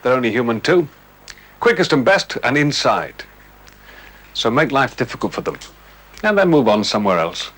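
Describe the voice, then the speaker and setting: A man's voice: medium pitch, very animated, normal speed, long pauses, fairly bright tone. A man with a British accent giving colloquial, matter-of-fact scripted advice in a 1970s television film. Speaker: crime prevention film presenter. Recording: faintly noisy 1970s television soundtrack.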